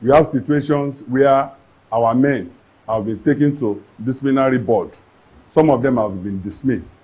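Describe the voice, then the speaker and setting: A man's voice: medium pitch, normal speed, a little mellow, slightly rough, poor audio. A man, an agency official answering interview questions in an earnest, official way. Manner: earnest, official, with some restarts.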